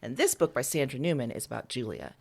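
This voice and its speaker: A woman's voice: rumbling low, big pitch swings, quick pace, gravelly. A woman writer being interviewed, talking candidly and informally in a casual conversational style.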